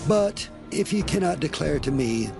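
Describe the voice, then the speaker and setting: Gravelly, defiant young biblical strongman. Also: fairly high pitch, expressive, normal speed, music in the background. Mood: boastful challenge. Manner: declamatory, challenging. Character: Samson, proud, defiant, vengeful young strongman. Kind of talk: dramatized scripture acting.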